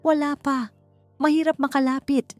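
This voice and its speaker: A woman's voice: sits high, level tone, normal speed, a little mellow, faint music behind, voice pushed up, natural voice. A woman voicing a guarded, stoic young truck driver with a formal, cool and curt manner in an audio love story. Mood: hushed, guarded.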